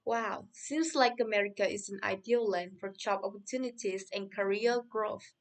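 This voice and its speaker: A man's voice: sits high, normal speed. A man playing a friendly, upbeat podcast host in a scripted interview for English learners. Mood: cheerful.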